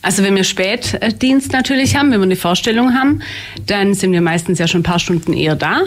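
A woman's voice: mid-range voice, lively intonation, fairly quick. A woman who works as a theater makeup artist, answering interview questions hesitantly with many fillers. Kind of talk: casual interview.

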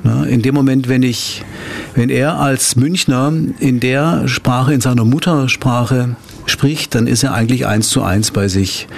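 Man, creaky mid-pitched voice, reflective musician guest, questioning tags. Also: measured pace. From Swabia, southern Germany. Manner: explanatory, often adds questioning tags. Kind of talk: reflective interview talk.

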